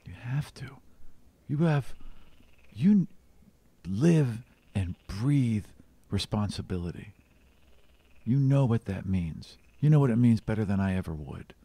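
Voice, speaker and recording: A man's voice: lowish voice, expressive, measured pace, pauses often, dark tone, breathy edge, no room sound, exaggerated voice. A man voicing a loyal, protective friend in a tabletop fantasy game, earnest and urging. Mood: solemn urging.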